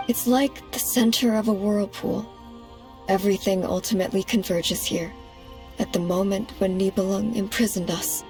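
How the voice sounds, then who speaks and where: A woman voicing a composed, solemn goddess in an anime game, speaking in a measured, formal way. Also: medium pitch, steady tone, a little mellow, soft background music, close to an everyday voice. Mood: thoughtful. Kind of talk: anime game cutscene acting.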